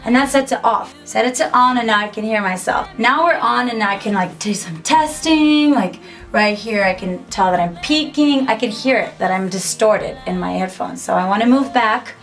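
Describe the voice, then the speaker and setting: A young woman talks through a beginner tutorial in a small home studio. Her voice sits a little high, her delivery is chatty and upbeat, and faint music plays underneath. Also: moderate pace, a bit bright. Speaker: musician and YouTuber. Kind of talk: casual tutorial to camera.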